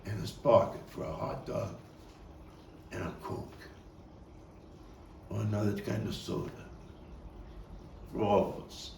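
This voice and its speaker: Man acting deep, soul-searching nostalgic Brooklyn man. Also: steady tone, gravelly. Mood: wistful, tender. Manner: halting, repeating words, swinging from hushed to emphatic. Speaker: playwright and actor. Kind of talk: dramatic stage monologue at a table read. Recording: distant mic, roomy echo, faint noise.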